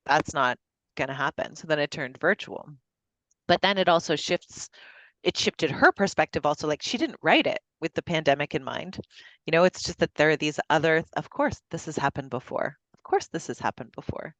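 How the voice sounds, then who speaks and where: A woman's voice: low-pitched, animated, moderate pace, a bit bright, no room sound. A woman hosting a virtual book club over a video call, chatty and full of fillers and restarts. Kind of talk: casual group discussion. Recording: dull lo-fi call audio.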